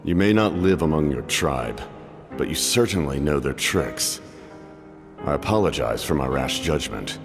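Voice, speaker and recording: A man voicing a grave, proud military captain in a video game, speaking in a formal, measured and stern way. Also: a bass voice, lively intonation, full character voice. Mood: guarded, measured. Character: grave, proud male Fatui captain, commanding and guarded. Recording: long reverb, clear music behind.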